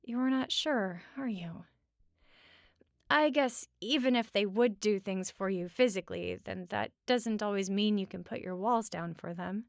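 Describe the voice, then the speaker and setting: A woman playing a sarcastic but caring roommate in a conversational audio roleplay, talking casually with wry teasing and hesitant pauses. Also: medium pitch, creaky, no room sound, clean studio sound. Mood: teasing, probing, then gentle concern. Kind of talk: conversational comfort audio roleplay at regular speaking volume.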